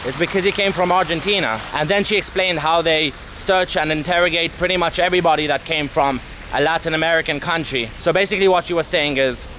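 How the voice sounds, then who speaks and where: A young man telling a travel story to camera as a vlogger, candid and animated, swearing casually, outdoors in a city street. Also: a little high, quick pace, slight background noise, low-quality recording. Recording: music playing under the voice.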